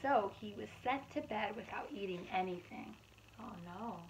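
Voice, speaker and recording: A woman in her thirties, a preschool teacher, reads picture books aloud to young children in an expressive, animated manner with asides to her listeners. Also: medium pitch, moderate pace, noisy background. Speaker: preschool teacher reading storytime.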